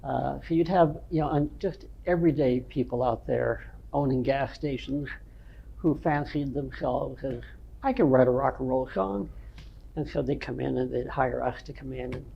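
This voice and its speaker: An older man from the North Shore of Massachusetts with a nasal voice, a veteran rock guitarist telling stories from his band days in an unhurried, rambling way. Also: mid-range voice, gravelly, husky, lo-fi sound. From North Shore of Massachusetts, USA. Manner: unhurried, rambling storytelling with many ums. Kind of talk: reminiscing interview answers.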